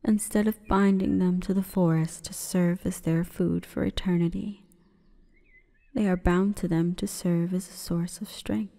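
Female forest spirit, played by a woman for ASMR roleplay: mellow breathy whisper, solemn and seductive, in long reverb. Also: on the low side, steady tone. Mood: solemn, hushed. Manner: slow, breathy, formal and commanding.

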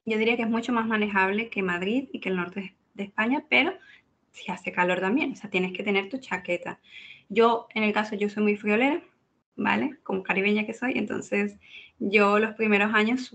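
Young woman, raspy gravelly voice, chatty guest, laughs a lot. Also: mid-range voice, moderate pace. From Venezuela (Caracas). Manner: chatty, lively, laughs easily. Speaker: interview guest. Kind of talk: casual interview chat.

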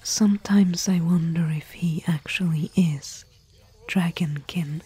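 A woman playing a thoughtful, bookish expedition companion in gentle, musing, whispery ASMR roleplay. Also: fairly low pitch, takes it slowly, full character voice. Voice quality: breathy, whispery. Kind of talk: soft-spoken ASMR roleplay.

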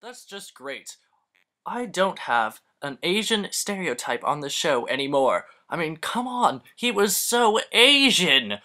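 A woman's voice: fairly low pitch, sing-song, slow, bright, forceful tone, full character voice. A woman voicing a cheeky, exasperated young male bear in an amateur cartoon parody, sarcastic and exclamatory.

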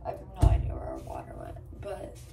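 A young woman with a hoarse voice from being sick, chatting to her phone camera in a hotel room in a bubbly, gushing way. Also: rumbling low, huge pitch swings, fast talker, in a whisper. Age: young adult. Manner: bubbly, gushing, talks to viewers as guys. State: hoarse, lost voice from illness. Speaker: travel vlogger. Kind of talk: casual vlog chat to camera. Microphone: handheld phone camera at arm's length.

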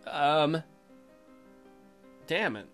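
Animated bright-voiced man doing game commentary. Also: on the high side, very slow, faint music behind. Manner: crude joking, profane. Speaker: gaming video host. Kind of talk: let's play commentary, reading dialogue aloud.

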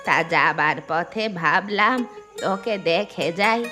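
A woman's voice: lowish voice, takes it slowly, music in the background. A woman voicing a shrewd, plain-spoken older village aunt in a cartoon fairy tale, with a folksy, scolding manner. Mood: chatty, grumbling.

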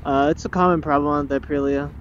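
A man vlogging from his motorcycle at night, chatting with a friend in a relaxed, joking way and trailing off mid-sentence. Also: a little high, fast. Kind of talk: casual chat while riding. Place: outdoors on a motorcycle on city streets at night. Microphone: helmet or bike-mounted action camera. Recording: muffled helmet mic with road noise.

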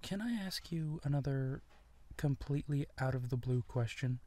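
A man playing an earnest, insecure young werewolf in an intimate ASMR roleplay, speaking softly and haltingly. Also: mid-range voice, lightly acted. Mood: shy, curious. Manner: hesitant, halting, soft-spoken with breathy whispers.

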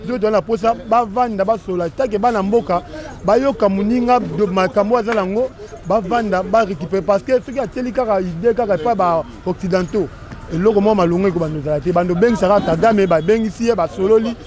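A man's voice: high voice, a little fast. A man in his thirties with a Congolese accent giving his opinion in a street interview outdoors. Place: outdoors, busy street by a shopfront.

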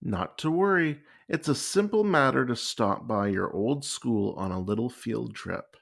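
A man's voice: mid-range voice, lively intonation, unhurried, gravelly, fairly bright tone, heavily acted. A man voicing a cheerful, unflappable woman schoolteacher in a storybook, encouraging and singsong.

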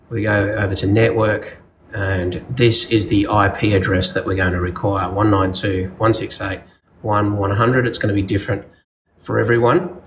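A man with an Australian accent giving casual, practical step-by-step instructions as an installer showing how something is set up. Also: on the low side. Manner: plain, practical, informal. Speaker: security installer giving a tutorial. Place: indoors at a wall-mounted monitor.

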